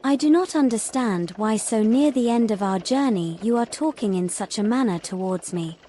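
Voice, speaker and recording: A woman's voice: a little high, animated, moderate pace, mellow, a little hiss or hum, close to an everyday voice. A woman playing a naive but stubborn mermaid in human form in a fantasy audio drama, with stilted, formal phrasing. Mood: defiant.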